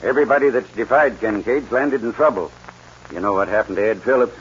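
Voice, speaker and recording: An actor playing a worried, kindly, honest rancher with a folksy drawl in a 1940s radio western. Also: male voice, fairly low pitch, brisk, natural voice. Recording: dull 1940s radio recording, faint noise.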